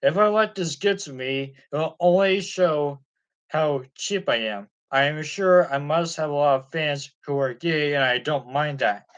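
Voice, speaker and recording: A man's voice: fairly high pitch, unhurried, lightly acted. A man voicing an upset young male star who insistently denies rumors about himself. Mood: indignant. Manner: indignant, insistent questioning.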